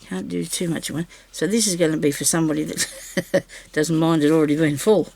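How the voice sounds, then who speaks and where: A woman with a slightly raspy voice, recovering from a sore throat, chatting casually to viewers and to herself as she crafts. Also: low-pitched, steady tone, a little fast, darkish tone. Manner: chatty, talks to herself while working. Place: craft table indoors.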